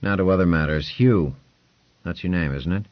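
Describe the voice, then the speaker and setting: A man's voice: low voice, moderate pace, dry recording, lo-fi sound, lightly acted. A man voicing a domineering male lord who talks in curt, commanding orders.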